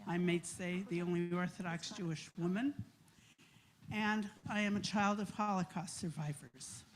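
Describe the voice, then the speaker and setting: A woman pressing a pointed question from the floor at a public forum, forceful and insistent as she repeats her point. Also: lowish voice, fairly even intonation, normal speed, soft background music. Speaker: chaplain and audience questioner.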